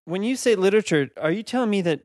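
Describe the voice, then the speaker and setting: A man's voice: sits high, expressive, quick pace, a little mellow, no room sound, clean studio sound. A man co-hosting a casual podcast, full of wry jokes and banter. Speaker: podcast co-host.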